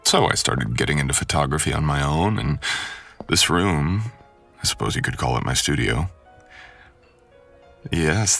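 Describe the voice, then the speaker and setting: Man voicing a very deep, gravelly seductive stalker. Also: bright, forceful tone, exaggerated voice. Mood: seductive, coaxing. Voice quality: gravelly, breathy.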